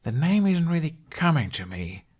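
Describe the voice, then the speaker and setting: A man's voice: on the high side, very animated, moderate pace, darkish tone, breathy edge, pitched above the performer's own voice. A man voicing a curious, thoughtful human boy with a musing, youthful manner in an audiobook. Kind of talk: audiobook character voice.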